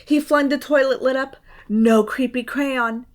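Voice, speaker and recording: A woman reading a spooky children's story aloud, expressive and suspenseful, with dramatic hushed moments. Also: high voice, measured pace. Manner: expressive, suspenseful, often dropping to a dramatic whisper. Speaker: children's book reader.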